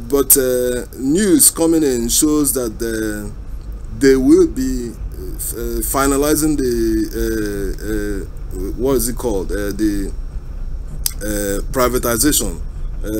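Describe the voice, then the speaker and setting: A man with a Nigerian accent giving unscripted road-trip commentary as a vlog host, haltingly, searching for words with many uhs. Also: medium pitch. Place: inside a car driving through town. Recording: steady vehicle and road noise. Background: vehicle and street noise.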